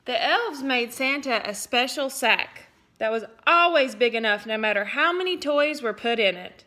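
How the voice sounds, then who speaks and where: A woman teacher reading a picture book aloud to children, expressive and playful, breaking off for little asides to her listeners. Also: a little high, normal speed. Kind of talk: storybook read-aloud for children.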